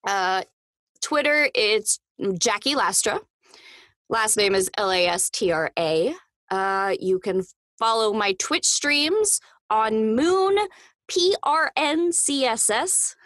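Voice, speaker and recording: Bright voiced lady guest on a podcast, super animated pitch, casual n playful chat. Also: takes it slowly, no room sound, clean studio sound. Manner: informal, playful.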